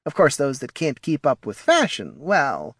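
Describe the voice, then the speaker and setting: Foppish little kobold, high thin voice, affected, patronizing, fantasy audiobook. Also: male voice, wildly swooping pitch, a little slow, fairly bright tone, husky, no room sound, clean studio sound, full character voice. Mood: smug. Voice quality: small, thin voice. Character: small, snobbish, foppish male kobold courtier. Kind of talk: audiobook character voice.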